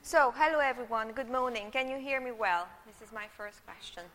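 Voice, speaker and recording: A woman's voice: fairly high pitch, a little fast, fairly bright tone, slight background noise. A middle-aged Hungarian woman and university lecturer, a native Hungarian speaker, giving a live lecture into a room microphone, chatty and checking in with her audience often. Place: lecture hall. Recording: long hall reverb.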